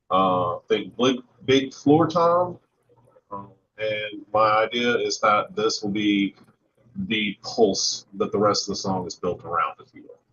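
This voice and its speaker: A man casually explaining as a guest, halting and going step by step. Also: lowish voice, fairly bright tone, breathy edge, dull audio. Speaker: guest contributor.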